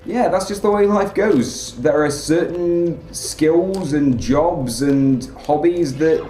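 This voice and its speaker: A man giving rambling, filler-heavy let's play commentary in a flat, monotone way. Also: a little high, takes it slowly, roomy sound. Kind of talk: casual gameplay commentary.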